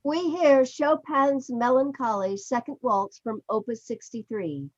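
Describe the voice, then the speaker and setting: Woman narrator, slightly high lively voice, scripted stage directions, dull lo-fi call sound. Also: unhurried. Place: indoors, remote online reading.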